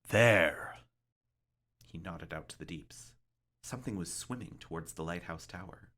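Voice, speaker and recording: A man reading a short story aloud as dramatic narration, in a deliberate, suspenseful manner. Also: deep voice, a little hiss or hum.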